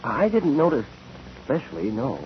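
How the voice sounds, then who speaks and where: A man's voice: mid-range voice, very animated, close to an everyday voice. Actor as hesitant, frank young man. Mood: reluctant, sheepish. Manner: halting, informal. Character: frank, hesitant, faintly embarrassed young male witness. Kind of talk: 1940s radio acting. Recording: dull, noisy 1940s radio recording.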